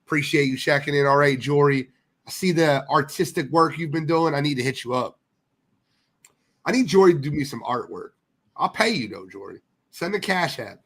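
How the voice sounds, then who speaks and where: A man's voice: fairly high pitch, moderate pace, a bit bright. Congested, blunt male podcast co-host. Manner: blunt, swears, ribs the others. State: congested from a sinus infection. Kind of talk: casual sports podcast chat.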